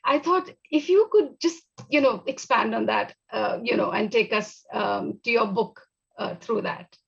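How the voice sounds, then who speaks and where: A woman hosts a book panel. Her voice sits a little high and she puts questions in a loose, halting, conversational way. The recording is slightly lo-fi. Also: vocal fry, slightly rough. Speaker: panel moderator. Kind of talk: live literary panel moderation.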